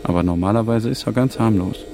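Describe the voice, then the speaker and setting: A grim male narrator played by an actor speaks in a low voice. His radio drama delivery is measured and sombre. A clear hum sits behind him. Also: lightly acted. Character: grim male narrator describing a self-destructive working man.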